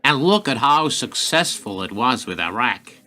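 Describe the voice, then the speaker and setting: A man plays a hawkish senior government aide in a radio drama. He is deliberate and calculating, with commanding authority and pitch that moves widely over a mid-range voice. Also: sing-song, bright, forceful tone. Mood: guarded, pragmatic. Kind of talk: radio play acting.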